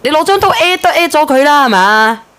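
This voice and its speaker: Fast, high-voiced Hong Kong woman. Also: lively intonation, fairly bright tone, faint music behind. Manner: blunt, pressing questions, coarse slang. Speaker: call-in show host. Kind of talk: casual call-in chat.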